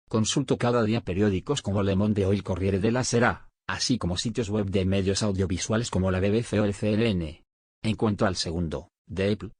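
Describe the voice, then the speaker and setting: A man reading written answers aloud in an even, measured way. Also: low voice, husky, no echo. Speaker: reader of survey answers. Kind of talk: scripted reading.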